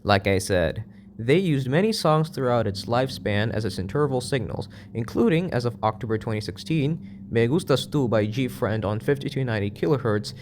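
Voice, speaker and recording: Man narrating over clearly noisy audio. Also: mid-range voice, expressive, moderate pace, a little mellow. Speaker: YouTube video narrator. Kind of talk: explanatory documentary-style narration.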